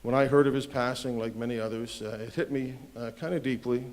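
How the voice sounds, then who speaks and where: A man giving a heartfelt spoken tribute at a ceremony, hesitant at times with small pauses. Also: mid-range voice, fairly even intonation, darkish tone.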